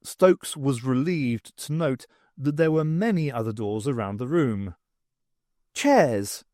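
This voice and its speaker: A man reading an audiobook story as scripted narration, in an expressive, dramatised manner. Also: medium pitch, unhurried, no echo, studio quality. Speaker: audiobook reader. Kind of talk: dramatised reading with voices for characters.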